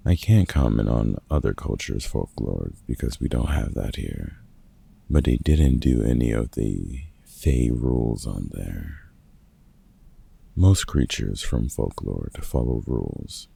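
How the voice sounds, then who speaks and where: A man playing a gruff, grudgingly kind vampire hunter in a roleplay audio drama, dry and sarcastic, with a gravelly, husky voice. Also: very deep voice, warm tone, exaggerated voice.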